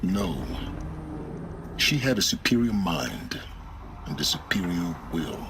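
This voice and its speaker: An actor playing a cool, proud intellectual man who argues in measured, formal speech, in a dramatic video game. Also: deep voice, sing-song, creaky, heavily acted. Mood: insistent. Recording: music behind the voice.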